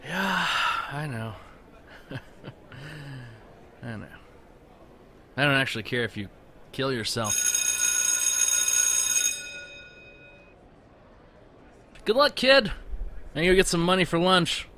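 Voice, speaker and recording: A man's voice: a little high, sing-song, slow pace, long pauses, bright, forceful tone, a little hiss or hum, heavily acted. A man playing a crude, callous, flippant bully in a comedic audio drama, mocking and given to muttered asides.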